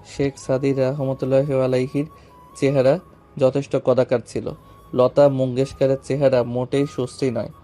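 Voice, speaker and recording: A man acting a calm, self-assured young businessman in an audio story drama, speaking in composed, deliberate phrases. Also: medium pitch, faint music behind, lightly acted. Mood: solemn, motivational.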